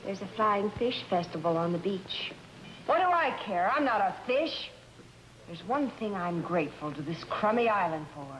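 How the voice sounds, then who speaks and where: An actress playing a bored, sharp-tongued American wife in an old radio drama, blunt and sarcastic. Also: female voice, fairly low pitch, normal speed, few pauses, a little hiss or hum, close to an everyday voice, rough recording. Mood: scornful.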